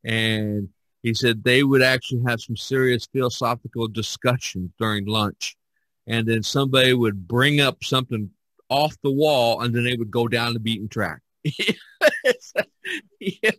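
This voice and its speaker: A man hosting a podcast, talking casually in a rambling, halting way. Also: mid-range voice, fairly bright tone, dry recording. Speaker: podcast host. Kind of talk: casual conversation.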